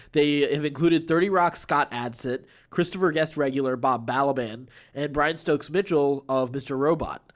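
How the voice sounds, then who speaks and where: A man hosting a podcast, reading entertainment news with informal, enthusiastic, chatty asides. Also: medium pitch. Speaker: podcast host.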